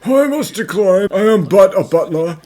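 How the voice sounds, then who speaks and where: A man voicing a solemn, loyal elderly butler with a formal, slow manner in a cartoon dub. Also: sits high, animated, dark tone, soft background music. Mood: solemn, humble. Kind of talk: animated series fan dub.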